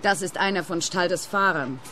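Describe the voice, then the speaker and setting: A woman's voice: fairly low pitch, moderate pace, pushed and bright, soft background music. An actress playing an eccentric young female trucker in a radio play, dreamy and oddly matter-of-fact. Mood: wistful, cheerfully morbid. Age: young adult.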